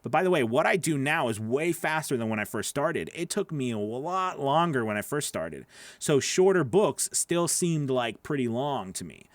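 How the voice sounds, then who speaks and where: A man in his thirties with a somewhat nasal voice, talking casually to viewers during a livestream Q&A. He often repeats words as he talks, and his voice sits at an ordinary middle pitch. The sound is close and dry, from a boom mic in a small home studio. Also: very animated, moderate pace, dry recording. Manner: chatty, repeats words, reads viewer questions aloud. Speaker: voice actor hosting a live Q&A. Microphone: large studio microphone on boom arm.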